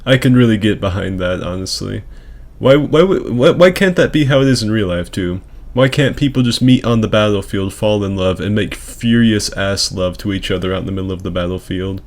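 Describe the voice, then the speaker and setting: A man hosting a gaming video with casual, profane commentary, delivered in a sarcastic, hyperbolic way. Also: lowish voice.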